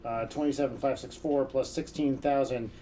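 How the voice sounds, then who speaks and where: A man hosting a stream, talking in a halting way full of fillers as he counts up donation totals. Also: mid-range voice, fairly even intonation, dark tone. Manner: halting, filler-heavy, adds up numbers aloud. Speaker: stream host tracking donations. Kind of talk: casual stream chat.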